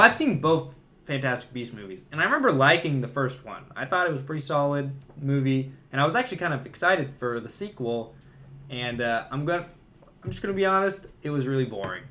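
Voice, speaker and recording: Man, mid-pitched voice, casual podcast co-host, conversational, opinionated. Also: animated, a little fast, sharp tone. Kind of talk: casual movie discussion.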